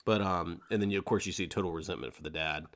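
A man with a fairly low voice talks very quickly in a casual podcast discussion. He is emphatic and slips into acting out what characters might be thinking. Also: fairly bright tone. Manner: emphatic, acts out characters' thoughts. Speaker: podcast co-host.

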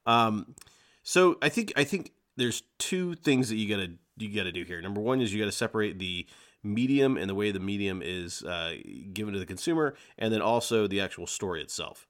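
Male podcast host, slightly low voice, casual conversational delivery. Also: lively intonation, a little fast, fairly bright tone. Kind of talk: casual podcast chat over drinks.

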